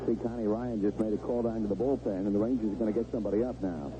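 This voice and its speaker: A man's voice: on the low side, fairly even intonation, very fast. A man giving conversational commentary on a live baseball radio broadcast, explaining the play as a booth partner. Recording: dull, noisy vintage radio broadcast.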